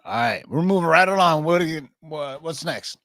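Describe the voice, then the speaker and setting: A man's voice: on the high side, lively intonation, moderate pace, a bit bright, no echo, clean studio sound. A man hosting a comic book podcast, steering the segments and playfully interrupting his co-hosts.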